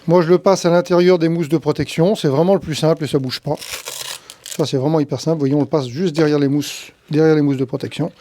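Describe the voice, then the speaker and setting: Guy doing a diy tutorial, highish voice, mellow, chatty step by step explaining. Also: steady tone, quick pace, a little hiss or hum. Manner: conversational, thinking aloud while handling gear. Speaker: tutorial host, tree-work professional.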